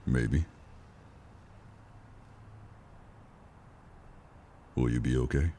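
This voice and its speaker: A man plays a stoic covert operative and father in an audio drama. His voice is extremely deep, and he speaks with measured, weary resignation. There is faint noise behind him. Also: warm tone. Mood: measured, reassuring.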